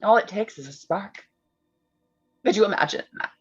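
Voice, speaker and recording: A woman's voice: mid-range voice, expressive, normal speed, breathy edge, highs cut off. A woman playing Mary Shelley as an intense, teasing storyteller in a staged reading, pointed and suspenseful. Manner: suspenseful, pointed, shifting into hushed tones. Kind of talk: dramatic staged reading of a gothic tale.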